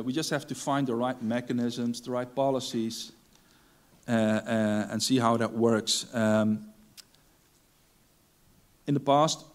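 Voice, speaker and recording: Hesitant middle-aged man giving a conference talk. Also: medium pitch. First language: Dutch. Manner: hesitant, many ums and restarts. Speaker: conference speaker. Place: large dark conference stage.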